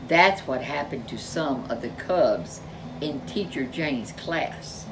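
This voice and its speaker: Very animated woman reading a children's story. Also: deep voice, noisy background. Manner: animated, drawn-out emphasis with chatty asides. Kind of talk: expressive read-aloud narration for young children.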